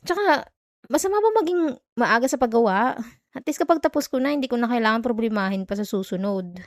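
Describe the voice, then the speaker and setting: A young Filipino student girlfriend, acted by a woman in an intimate audio roleplay. Her voice is high and recorded very dry, with no room around it. She talks in a sulky, defensive way, like someone jealous and easily irritated. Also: dry recording. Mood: curt, annoyed. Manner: defensive, clipped, teasing Taglish.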